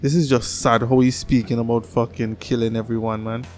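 A man in his thirties hosts a reaction video in a casual, profane, slangy way. His voice sits at a middle pitch, close to the mic in a small home room. Faint music runs behind him. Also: fairly quick. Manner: slangy, profane, animated with hands. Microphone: desk microphone on boom arm, close.

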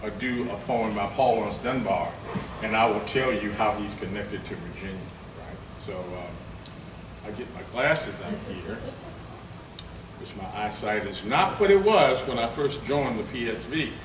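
An older man reading poetry at a podium, first chatting haltingly with many fillers, then reciting slowly and gravely. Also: fairly low pitch. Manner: slow, declamatory recitation. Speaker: poetry reader. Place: conference room with lectern. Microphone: podium mic, from a far camera. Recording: roomy, distant, noisy room recording.

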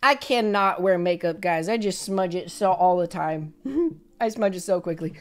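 A young woman in her twenties streaming live from a small bedroom, hoarse from yelling, bubbly and effusive as she thanks viewers over a headset mic. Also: fairly low pitch, soft background music. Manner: bubbly, effusive, quick swings to excited squeals.

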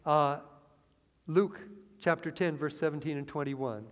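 A man in his fifties preaching a sermon from a church pulpit, earnest and rising into excitement as he reads scripture. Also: fairly high pitch, unhurried. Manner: earnest preaching that swells with excitement, lines read from the Bible. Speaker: pastor. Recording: long hall reverb in a church.

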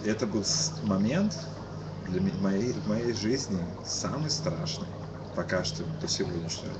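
A tired man in his thirties from Kyiv giving a casual, halting eyewitness account as an interviewee, repeating and restarting his words. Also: lowish voice, expressive. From Kyiv, Ukraine. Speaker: interviewee, musician. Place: cafe-like booth indoors. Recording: muffled lo-fi webcast, loud background noise.

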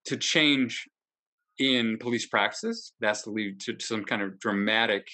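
Bright-voiced middle-aged man, halting and earnest. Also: lowish voice. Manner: halting, searching for words. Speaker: book discussion participant. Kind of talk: earnest, reflective discussion. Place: indoor room, video call. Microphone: computer mic on video call.

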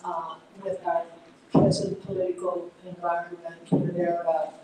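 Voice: medium pitch, completely flat delivery, a little slow, dark tone, a little hiss or hum. An audience member asking the speaker a long, winding question, with fillers throughout. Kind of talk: audience question at a public talk. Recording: echoey hall from far off.

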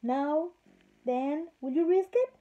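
A woman's voice: high voice, slow, mellow, voice pushed up. A woman reading as a firm, stubborn king. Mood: lecturing. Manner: firm, lecturing. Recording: slightly muffled lo-fi recording.

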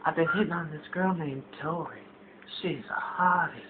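Goofy, sleazy trailer Romeo played by a young man, high voice, suggestive prank-call patter on a tinny recording. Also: moderate pace, natural voice. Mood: smug, suggestive. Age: young adult. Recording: tinny, very noisy low-quality camera microphone.

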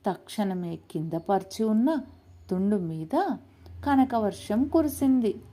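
A woman's voice: mid-range voice, lively intonation, slow pace, dark tone. A woman narrating a moral story in a dramatic storytelling style.

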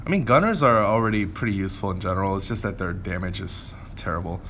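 Man, fairly deep voice, informal gameplay commentary, thinking aloud, background noise. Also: fast talker, fairly bright tone. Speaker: gameplay video creator.

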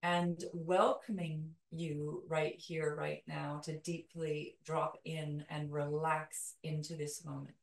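A woman leading a guided meditation in a soothing, calming way, with slow, deliberate phrases and long pauses. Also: low-pitched, gravelly. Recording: roomy echo, distant from mic.

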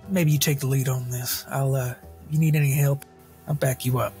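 A man voicing a gruff, blunt rogue who talks in short, halting phrases in a tabletop game. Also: mid-range voice, steady tone, a little mellow, faint music behind, close to an everyday voice. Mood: gruff, guarded.

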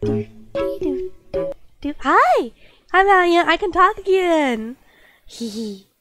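A woman's voice: very high voice, sing-song, slow pace, a bit bright, dry recording, soft background music. A young woman streaming and chatting casually with her viewers, stuffy-nosed with a lingering cough, bubbly and apologetic. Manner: bubbly, apologetic, lots of fillers.

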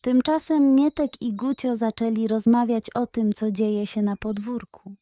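Unhurried woman storyteller, fairly high voice. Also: steady tone, mellow. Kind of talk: scripted children's story narration.

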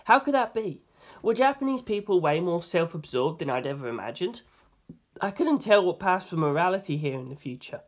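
Amateur audiobook narrator, medium pitch, informal and expressive first-person storytelling. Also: brisk. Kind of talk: reading a novel aloud as first-person narration.

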